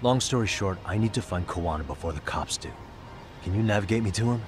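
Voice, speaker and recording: An actor playing a determined, level-headed male detective, calm and businesslike, in a video game dub. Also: lowish voice, quick pace, noisy background, lightly acted. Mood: urgent, serious. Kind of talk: dramatic video game voice acting.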